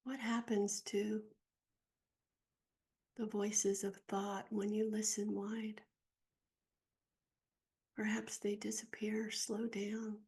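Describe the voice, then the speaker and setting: A woman guides a meditation in a soft, husky voice with a mellow tone and a touch of creak. Her delivery is gentle and unhurried, leaving long pauses between short phrases. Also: medium pitch, flat delivery.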